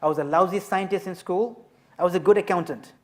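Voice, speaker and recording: A man in his fifties, from Tanzania, telling his story as an author and speaker on a festival panel, measured and emphatic. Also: sits high. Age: 50s.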